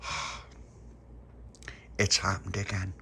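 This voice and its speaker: A man's voice: deep voice, slow, creaky, pushed and bright, a little hiss or hum, heavily acted. A man voicing a chirpy, good-natured young boy in a storybook. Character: cheerful young boy, jam on his cheek.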